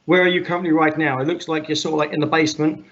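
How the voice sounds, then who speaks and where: A man hosting an online meetup in casual talk. He has a loud, projecting voice and rambles and jokes, with lots of fillers. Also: fairly high pitch, flat delivery, very fast, gravelly, lo-fi sound, slight background noise. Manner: rambling, filler-heavy, joking. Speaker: meetup host.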